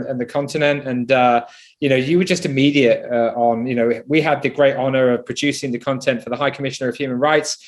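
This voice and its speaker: A man interviewing a guest, effusive and full of praise, with fillers. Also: medium pitch. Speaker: interviewer, charity founder. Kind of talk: conversational interview.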